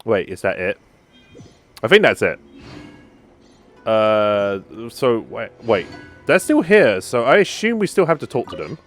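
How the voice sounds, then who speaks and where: A man's voice: fairly low pitch, big pitch swings, measured pace, long pauses. A man giving casual let's play commentary, musing tentatively aloud as he follows the game. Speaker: gaming video host. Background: faint game music.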